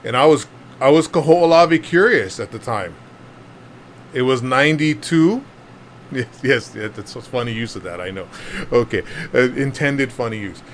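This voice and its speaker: A man's voice: medium pitch, lively intonation, normal speed, slight background noise. A man in his fifties from Hawaii with a Hawaiian Pidgin-tinged accent, telling his story to a group in a chatty, self-deprecating way with lots of fillers and laughs. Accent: Hawaiian Pidgin-tinged English. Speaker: panel speaker.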